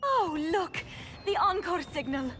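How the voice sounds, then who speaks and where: A woman's voice: very high-pitched, very animated, measured pace, sharp tone, a little hiss or hum, full character voice. An actress playing a refined, earnest young woman diplomat in a video game, speaking in formal, polite phrases. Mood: delighted.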